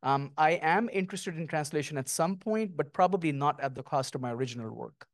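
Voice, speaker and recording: A middle-aged man, a guest author, speaking thoughtfully and lyrically with many pauses at an onstage conversation, on a handheld mic. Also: a little high, dry recording, studio quality. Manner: thoughtful, lyrical, with frequent ums. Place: small stage before an audience, indoors.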